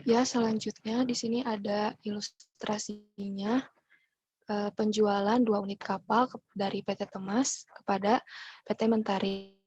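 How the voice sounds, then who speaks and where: Young woman, slightly husky. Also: medium pitch, fairly even intonation, unhurried, darkish tone, no room sound, muffled recording. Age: young adult. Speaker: student presenter. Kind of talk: explanatory class presentation.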